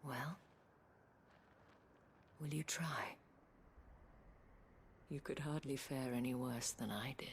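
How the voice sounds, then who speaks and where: Very low-voiced, weary dame. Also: female voice, a little hiss or hum. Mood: pleading. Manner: measured, eloquent, weary. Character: worldly, anxious dame looking after her wards. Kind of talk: scripted video game drama.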